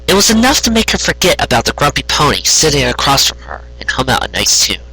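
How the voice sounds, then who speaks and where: Male narrator, very bright forceful tone, slightly husky, expressive storybook reading. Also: mid-range voice, fast, poor audio. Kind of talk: expressive fan fiction narration, read aloud.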